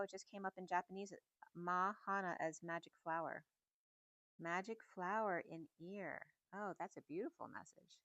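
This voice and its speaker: A middle-aged woman giving a casual, meandering spiritual reading at home, in a soft and musing way. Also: on the low side, dry recording, dull audio. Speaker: spiritual reader. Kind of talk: casual channeled message reading.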